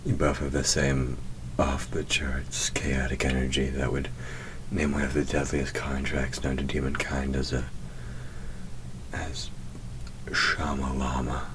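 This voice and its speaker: A man playing a wry demon hunter who is himself a demon, teasing yet protective, speaking intimately and with measured sarcasm in an audio roleplay. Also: rumbling low, flat delivery, background noise. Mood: teasing, amused. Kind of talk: scripted audio roleplay spoken to the listener.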